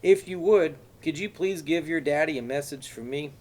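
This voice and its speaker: A man voicing an older graying man with an exaggerated Texas accent, folksy and courteous but quietly threatening. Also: a little high, normal speed, voice pushed up, natural voice. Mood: polite menace. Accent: exaggerated Texas drawl.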